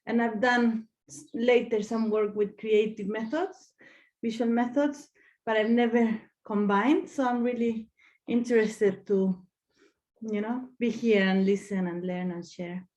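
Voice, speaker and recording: A woman's voice: on the high side, steady tone, measured pace, a little mellow. A woman from Chile, introducing herself on a video call in an upbeat, easy-going way. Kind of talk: casual video-call chat.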